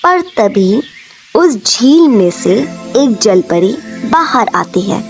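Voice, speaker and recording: A woman's voice: mid-range voice, very animated, takes it slowly, soft background music. A woman narrating a scripted children's story.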